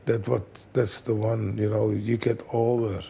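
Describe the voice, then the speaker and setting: A man, a fight trainer, telling animated stories in broken English as an interview guest. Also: lowish voice, measured pace, gravelly, a little hiss or hum. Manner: animated storyteller, broken English, acts out quotes. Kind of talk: casual storytelling interview.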